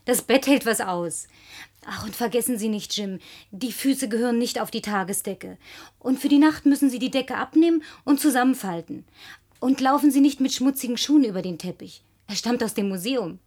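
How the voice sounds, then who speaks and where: An actress playing a bossy, house-proud young woman in a radio play, brisk and commanding. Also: a little high, a little mellow.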